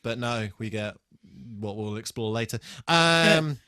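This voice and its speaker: Man with a bright, dry-sounding voice, chatting casually. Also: mid-range voice, a little slow, smooth, dry recording. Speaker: podcast co-host. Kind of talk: casual chat, TV episode review from notes.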